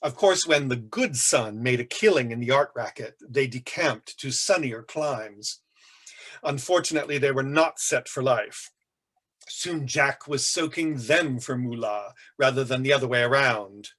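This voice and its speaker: Man reading as a flamboyant, husky older raconteur. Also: mid-range voice, fairly bright tone. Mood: gossipy, mocking. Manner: arch, theatrical, camp, drawn-out emphasis.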